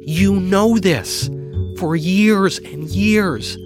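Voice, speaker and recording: Audiobook role: fragile, frightened young sister, pitched high, pleading and urgent male narrator acting her out. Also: drawn-out words, music in the background, heavily acted. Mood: desperate, pleading.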